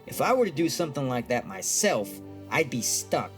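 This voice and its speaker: Man acting streetwise psychic wise guy, animated voice. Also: mid-range voice, moderate pace, music in the background, lightly acted. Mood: grave, explaining. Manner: slangy old-time wise guy phrasing. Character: streetwise wisecracking male psychic, gruff and irritable. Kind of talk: audio drama acting.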